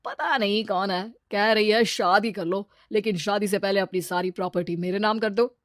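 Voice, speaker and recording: A woman voicing an arrogant, fickle husband, boastful and wheedling, in an animated story. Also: medium pitch, moderate pace, no room sound, clean studio sound, close to an everyday voice. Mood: dismissive. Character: arrogant, fickle, money-proud husband, easily smitten.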